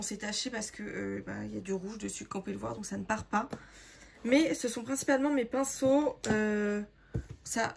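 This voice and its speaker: Young woman, hoarse and gravelly, rambling casual vlog talk, noisy background. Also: on the high side. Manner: chatty, rambling, lots of fillers. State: hoarse, sore throat. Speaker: makeup artist vlogger. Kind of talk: casual sorting vlog, talking to viewers.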